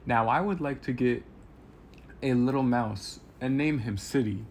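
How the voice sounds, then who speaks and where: A man's voice: mid-range voice, a little slow, close to an everyday voice. A man voicing a friendly, childlike robot who narrates his travels in earnest, simple, innocent phrasing. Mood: earnest, cheerful. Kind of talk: scripted first-person narration read aloud. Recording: clear background noise.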